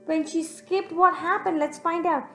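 A young woman reading a picture book aloud to young children in an expressive, teacherly way, pausing to put questions to them. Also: extremely high pitch. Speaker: children's storyteller. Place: room at home, indoors. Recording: echoey room, music in the background.

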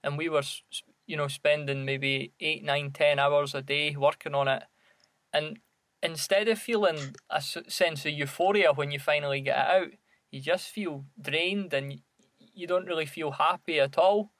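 Scottish man, higher-pitched, gravelly, chatting casually. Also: moderate pace, fairly bright tone, dry recording. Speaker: podcast co-host. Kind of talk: casual podcast chat.

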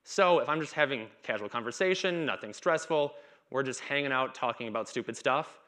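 A man's voice: medium pitch, brisk, bright, forceful tone. A man in his thirties giving a conference talk on stage in a casual, chatty way, with stutters, repeats and blocks. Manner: casual chit-chat delivery. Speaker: conference speaker. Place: dark stage in a conference hall.

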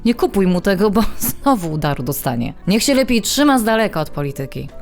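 Audio drama: exasperated middle-aged daughter, medium pitch, dry sarcasm and teasing, soft music underneath. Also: female voice, big pitch swings, fast. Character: sarcastic grown daughter, Polish-American realtor, exasperated with mother.

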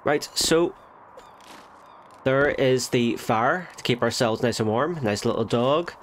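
A man's voice: mid-range voice, slow pace, slight background noise. A man with a nasal voice from a recent illness, chatting casually over a game and correcting himself as he goes. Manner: chatty, self-correcting, thinks aloud. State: nasal from a cold. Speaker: gaming channel host. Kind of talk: casual let's play commentary.

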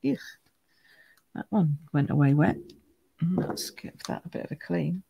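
A woman with a croaky voice, chatting through a live card-making demonstration at her craft table, greeting viewers by name as she stamps and colours. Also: low voice, normal speed, muffled recording, background noise. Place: home craft room.

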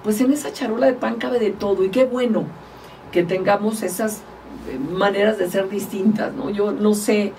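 A woman's voice: mid-range voice, fairly even intonation, normal speed, darkish tone, distant mic, a little hiss or hum, rough recording. A woman from Mexico, a guest politician, chatting casually and animatedly in an interview, often laughing as she tells stories. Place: small studio, indoors. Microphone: table mic, far from speaker.

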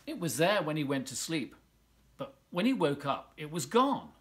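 Middle-aged man, children's story reader: bright, forceful tone, very lively intonation. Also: a little high, moderate pace, a little hiss or hum. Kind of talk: animated children's storybook reading. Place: living room at home, indoors.

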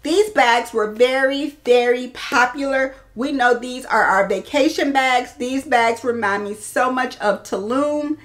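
A woman's voice: sits high, unhurried, hardly any pauses, fairly bright tone. A woman in her thirties presenting products in a casual, chatty way, addressing her viewers directly like a fashion vlogger. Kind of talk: casual product showcase to camera. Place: small indoor room lined with clothes and bag shelves.

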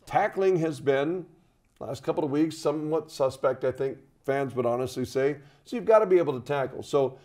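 Older man talking sports, mellow voice. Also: on the high side, expressive, normal speed. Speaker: radio play-by-play commentator. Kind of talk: sports preview studio discussion. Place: TV studio.

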